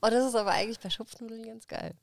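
A woman's voice: on the high side, steady tone, very fast, creaky, no echo, studio quality. A woman co-hosting a podcast, cheerful and leading the chat, laughing often. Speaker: podcast co-host. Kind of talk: casual chat.

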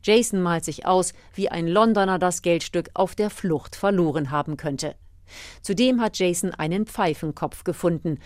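Lower-pitched woman narrating, dry sound. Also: normal speed, no echo. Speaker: reporter narrating a radio feature. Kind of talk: scripted documentary narration.

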